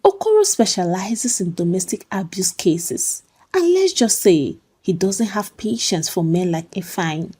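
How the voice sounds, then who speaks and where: A woman's voice: mid-range voice, animated, measured pace, mellow, slightly rough. A woman voicing a bold, sassy, protective friend with an emphatic, teasing manner in a told story. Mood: playful, sassy.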